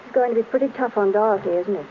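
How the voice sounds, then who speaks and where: An actress playing a detective's poised, inquisitive, teasing wife in crisp 1930s radio style. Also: female voice, mid-range voice, very fast, natural voice. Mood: curious, teasing. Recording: dull, noisy old radio recording.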